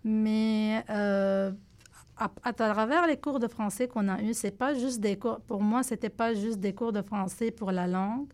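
Woman speaking halting French. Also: mid-range voice, fairly even intonation, a little mellow. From Lebanon. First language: Arabic. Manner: halting, searching for words in a second language. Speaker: guest. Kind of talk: radio interview answers.

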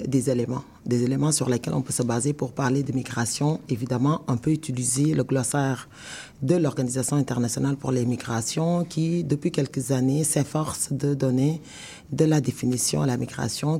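Mellow, creaky Senegalese woman, didactic. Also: extremely low pitch, steady tone. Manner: measured, didactic, defines terms step by step. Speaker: law professor, expert guest. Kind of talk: explanatory interview answers.

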